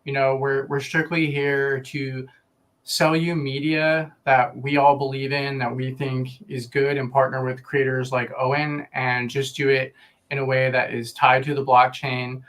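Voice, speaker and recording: A man giving a casual explanatory pitch on a stream, measured, in short phrases with pauses. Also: flat delivery, fairly bright tone, rough recording. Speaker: publishing platform representative.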